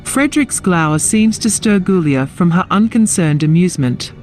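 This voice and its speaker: A woman narrates a scripted fantasy radio drama. Her pitch moves animatedly through the descriptions, with soft music underneath. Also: lively intonation, normal speed.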